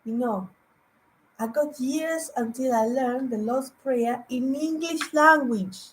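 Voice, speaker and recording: A woman evangelist whose first language is Greek, preaching online in a halting way, repeating words and humming between thoughts. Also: high voice, a little hiss or hum, rough recording. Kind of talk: online sermon with anecdotes.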